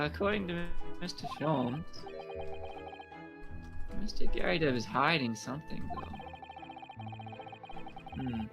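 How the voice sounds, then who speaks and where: A man voicing a polite, hesitant young foreigner with tentative, formal wording in an amateur game fandub. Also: on the high side. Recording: music clearly playing behind the voice.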